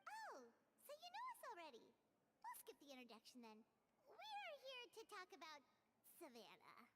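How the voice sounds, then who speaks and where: A woman voicing a tiny, childlike fairy companion in a video game, squeaky, chirpy and blunt. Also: huge pitch swings, moderate pace, very noisy, exaggerated voice. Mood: cheerful. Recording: prominent game music behind.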